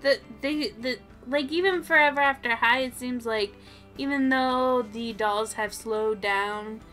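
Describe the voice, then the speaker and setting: Bright-voiced woman chatting casually about toys. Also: fairly high pitch, measured pace, faint music behind. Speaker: toy show co-host. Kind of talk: casual toy review chat.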